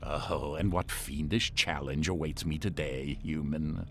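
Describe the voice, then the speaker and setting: An actor playing a pompous, sarcastic artificial intelligence ship companion for a game voice pack, full of condescending disdain. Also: male voice, extremely low pitch, steady tone, a little slow, heavily acted. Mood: sardonic. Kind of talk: scripted game voice-pack acting.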